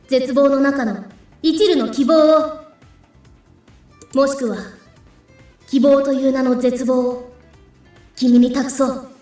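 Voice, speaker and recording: A woman voicing a mysterious young boy in a visual novel, speaking in a measured, portentous way. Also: high-pitched, fairly even intonation, mellow, no room sound. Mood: grave, intense. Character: mysterious, solemn young boy offering power. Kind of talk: Japanese visual novel voice acting. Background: game music.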